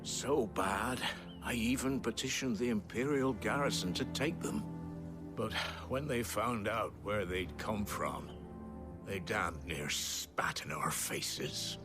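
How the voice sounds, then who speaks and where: A man's voice: lowish voice, slow pace, music in the background. A man acting a weary, deferential villager in a video game, with an earnest and careworn manner. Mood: bitter.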